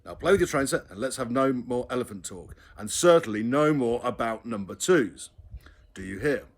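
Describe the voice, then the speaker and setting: A man's voice: medium pitch, lively intonation, normal speed. A man voicing a patient but stern mother who scolds firmly in clipped phrases. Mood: scolding.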